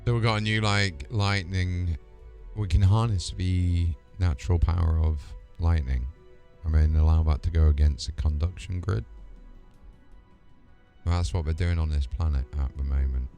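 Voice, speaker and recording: Low, mellow-voiced male game streamer. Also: steady tone, moderate pace, faint music behind. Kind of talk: casual gameplay commentary and reading dialogue options.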